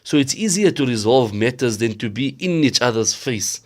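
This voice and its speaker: A man acting as a patient sheikh who teaches his class in a measured, instructive way in an audio drama. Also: mid-range voice, lively intonation, lightly acted. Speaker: Islamic studies teacher.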